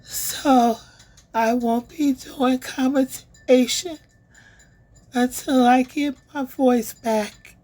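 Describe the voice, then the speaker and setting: A woman vlog host with a hoarse, failing voice, speaking affectionately and in a drawn-out way to her viewers. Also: high-pitched, fairly even intonation, warm tone, poor audio. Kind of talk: slow affectionate address to viewers.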